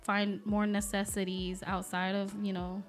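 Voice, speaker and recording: Woman, Southern US twang, Vietnamese first language, even intonation, informal podcast guest, faint music behind. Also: mid-range voice, measured pace. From Alabama, USA. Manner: chatty, informal. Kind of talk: casual interview chat.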